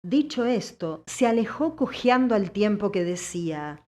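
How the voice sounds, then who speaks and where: Female audiobook narrator, medium pitch. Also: expressive, measured pace, dark tone.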